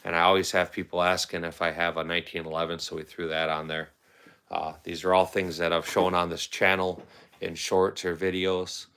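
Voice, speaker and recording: Deep-voiced man chatting on a livestream. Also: flat delivery, moderate pace, bright, forceful tone, reverberant. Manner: rambling, talks back to chat comments. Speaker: livestream host. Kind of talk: casual livestream chat with viewers.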